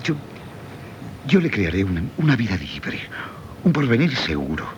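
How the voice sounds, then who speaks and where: An actor with a Castilian accent playing an earnest middle-aged former teacher and suitor in formal, solemn radio theatre style. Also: male voice, big pitch swings, normal speed. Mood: earnest, ardent. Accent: Castilian Spanish. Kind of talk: 1970s Spanish radio theatre acting. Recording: old radio broadcast, low rumbling noise.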